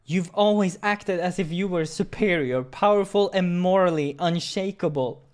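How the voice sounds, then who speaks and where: Guy doing a brave young visual novel hero, higher voice, indignant and really worked up. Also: moderate pace, lightly acted. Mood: defiant accusation.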